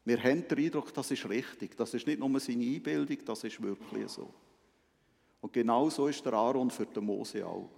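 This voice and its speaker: A man's voice: medium pitch, normal speed, vocal fry, a little mellow. A man giving a conversational sermon as a preacher, explaining things and often checking in with short tag questions. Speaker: Methodist preacher. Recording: echoey hall with long reverb.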